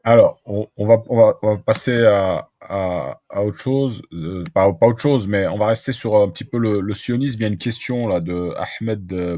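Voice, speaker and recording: A man's voice: fairly low pitch, a little mellow, no echo, clean studio sound. A man hosting a live question-and-answer show who reads out viewer questions and stumbles over his words. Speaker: host reading viewer questions.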